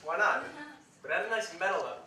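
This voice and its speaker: A man's voice: high voice, animated, quick pace, sharp tone, exaggerated voice. A man on stage playing an impatient, bossy writer in a comic play, with emphatic projected delivery. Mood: questioning. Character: impatient, exasperated young male writer, bossy and argumentative. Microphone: distant recording of the stage. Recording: echoey theatre, distant mic, background noise.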